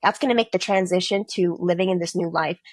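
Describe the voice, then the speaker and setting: A teenage girl, an exchange student, telling her story in a casual interview, animated and quick to quote people. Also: on the low side, dry recording. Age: teens.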